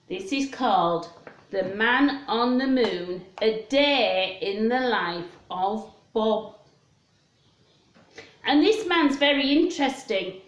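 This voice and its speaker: Slow-speaking Englishwoman reading to children. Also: high voice. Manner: simple child-directed talk with questions. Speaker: children's storytime presenter. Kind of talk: reading a picture book aloud to children. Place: small room at home, indoors. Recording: roomy, echoey home recording.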